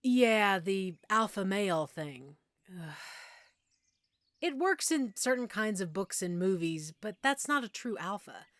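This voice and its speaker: A woman playing a middle-aged, self-assured werewolf pack alpha in an intimate audio roleplay, explaining things conversationally with measured pauses. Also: medium pitch, animated, a bit bright, no echo, clean studio sound. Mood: candid, proud.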